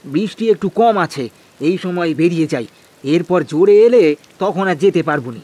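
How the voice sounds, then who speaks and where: A man's voice: sits high, expressive, moderate pace, mellow. Man playing an anxious, grumbling village husband. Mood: determined, flustered. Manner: exclamatory, stammering, repeats words. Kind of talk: Bengali horror cartoon dialogue.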